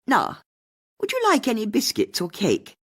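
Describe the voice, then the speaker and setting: A woman voicing a friendly young horsey upper-class woman with a cut-glass English accent, brisk, bright and open, in an audiobook. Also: a little high, huge pitch swings, slightly rough, pitched above the performer's own voice, heavily acted. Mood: cheerful.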